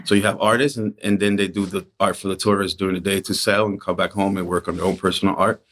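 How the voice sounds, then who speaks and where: A middle-aged man from the Dominican Republic, a painter guesting on a podcast, telling stories about his childhood in a relaxed, reflective way. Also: sits low, fast. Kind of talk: casual storytelling interview.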